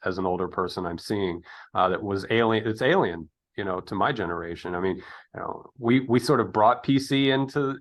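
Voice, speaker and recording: A middle-aged man with a lowish voice talks through his thoughts in a conversational interview. He is earnest and a little hesitant, often searching for the right word. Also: fairly bright tone. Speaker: interview host. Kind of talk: reflective conversational discussion.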